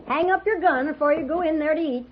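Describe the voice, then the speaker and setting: An actress playing a plainspoken, bossy but hospitable frontier boardinghouse matron with a rural Western American accent in a radio drama. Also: female voice, high voice, fast talker, noisy background, lightly acted. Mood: brisk, folksy. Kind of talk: 1950s radio drama acting. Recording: muffled vintage radio recording.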